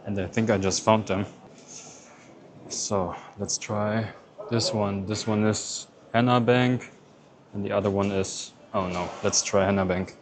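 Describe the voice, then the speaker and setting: A man's voice: fairly low pitch, fairly even intonation, a little slow, background noise. A man whose first language is German, narrating a casual travel vlog and explaining each step as he goes. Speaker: travel vlogger. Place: airport and busy city street.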